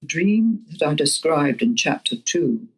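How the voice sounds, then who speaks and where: An elderly woman in her eighties, an author reading her own nonfiction audiobook with measured, deliberate phrasing. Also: sits low, animated, slightly rough, poor audio. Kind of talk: scripted nonfiction audiobook narration.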